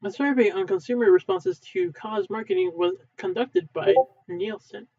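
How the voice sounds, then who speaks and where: A man reading a textbook aloud in a halting way, stumbling and correcting himself as he goes. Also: dark tone. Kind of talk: unedited read-aloud of a textbook. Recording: thin phone-like low-fidelity sound.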